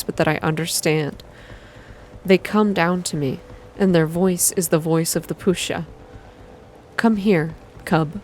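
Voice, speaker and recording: A woman with a low voice narrates a visual novel in a soft, calm reading style. Her delivery is slow and hushed, with some background noise on the recording. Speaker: reader of a visual novel for an online channel.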